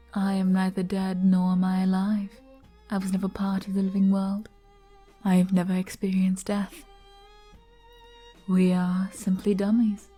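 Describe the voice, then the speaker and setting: A woman playing a flirty, gentle arbiter bartender in an ASMR roleplay, soft-spoken and coy as she explains things patiently. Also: mid-range voice, breathy edge.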